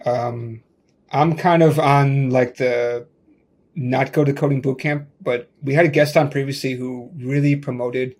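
A man in a mid-range voice hosting a relaxed tech interview, speaking from a remote call setup. He talks in a loose, chatty way, circling his point with "like" and frequent restarts. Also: mid-range voice, unhurried. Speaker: podcast host.

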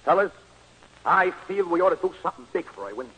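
An actor playing a bossy, slangy New York horse-betting gambler in a 1940s radio comedy, talking fast and ungrammatically. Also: male voice, sits high, big pitch swings, fairly bright tone, heavily acted, rough recording. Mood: excited, pushy. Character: brash, bossy American horse-betting gambler, sentimental, uneducated. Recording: old 1940s radio broadcast recording.

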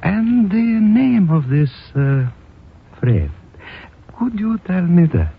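An actor playing an elderly, courteous but guarded toy shop owner in a 1950s radio drama, halting and formally polite, on a tinny old recording. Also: male voice, sits high, sing-song, vocal fry, a little hiss or hum, full character voice. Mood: wary, guarded.

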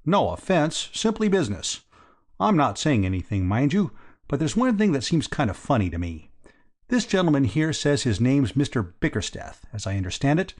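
A man's voice: medium pitch, very animated, moderate pace, darkish tone, pitched above the performer's own voice. A man voicing a stout, suspicious businessman in an audiobook, blunt and insistent in manner. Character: stout, well-fed, cold-eyed businessman, suspicious and pushy. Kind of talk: audiobook character dialogue.

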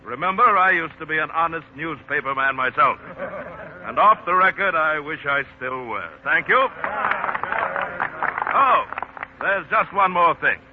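A man's voice: fairly high pitch, expressive, unhurried, pauses often. An actor playing a genial newspaperman turned candidate, giving a club speech in 1940s radio style. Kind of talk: 1940s radio acting, after-dinner speech. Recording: tinny, noisy 1948 radio broadcast.

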